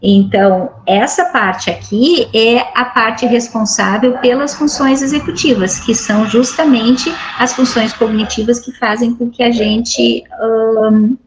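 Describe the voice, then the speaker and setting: A woman's voice: on the high side, fairly even intonation, a little slow, hardly any pauses, noisy background. A middle-aged woman from Brazil giving an academic lecture over a video call, explaining patiently and checking in with frequent tag questions. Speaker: guest lecturer.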